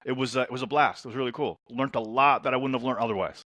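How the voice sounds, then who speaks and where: A man's voice: mid-range voice, racing, a bit bright, dry recording, highs cut off. A man from Lebanon who grew up in the States, a coach talking as a podcast guest in an upbeat, storytelling way that often doubles words as he starts a sentence. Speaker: men's transformation coach, guest. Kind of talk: casual interview talk.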